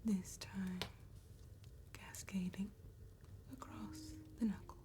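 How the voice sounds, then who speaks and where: A woman performing an elegant, courteous vampire tattooist in a soft-spoken ASMR roleplay, whispering breathily with formal, deliberate phrasing. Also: medium pitch, fairly even intonation, natural voice. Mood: hushed, descriptive.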